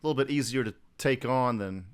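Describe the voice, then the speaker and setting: A man's voice: medium pitch, brisk, a little hiss or hum. A man co-hosting a podcast in a casual, chatty interview style. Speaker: podcast co-host.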